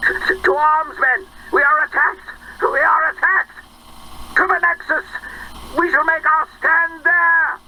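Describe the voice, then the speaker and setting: An actor playing a pompous old-fashioned upper-class British gentleman officer in a theatrical game audio log. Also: very high voice, little pitch movement, a little slow, slight background noise, exaggerated voice, poor audio. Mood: alarmed. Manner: theatrical, blustering, exclamatory.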